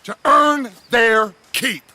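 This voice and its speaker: High, bright-voiced, grandiose outlaw boss. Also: male voice, exaggerated voice. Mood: rallying, commanding. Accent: American. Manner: theatrical, oratorical, deliberate. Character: charismatic middle-aged male outlaw gang leader, commanding and grandiose. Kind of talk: dramatic video game acting.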